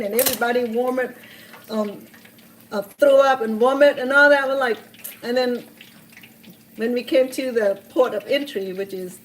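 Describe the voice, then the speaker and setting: A woman's voice: a little high, a little hiss or hum, poor audio. A woman in her sixties from Cambodia with a Cambodian accent, hosting a cooking video and telling her story in an earnest, heartfelt way with many restarts. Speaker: cooking show host.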